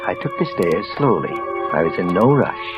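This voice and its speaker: An actor playing a nervous, trembling office clerk who narrates in a hushed, tense voice, often sinking to a whisper, in a 1940s radio drama. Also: male voice, low-pitched, big pitch swings, normal speed, dull audio. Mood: tense, brooding. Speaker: narrator and lead character. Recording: old radio broadcast with music.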